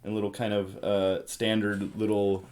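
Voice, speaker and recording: A man's voice: lowish voice, monotone, moderate pace, darkish tone. A man hosting a casual board game podcast, chatty and explanatory, prompting the others with questions. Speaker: podcast host.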